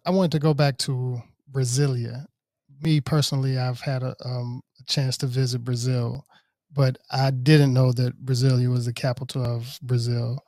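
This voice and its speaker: A co-host asking the guest questions in a relaxed, conversational way. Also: mid-range voice, normal speed, no echo, studio quality. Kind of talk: interview questions.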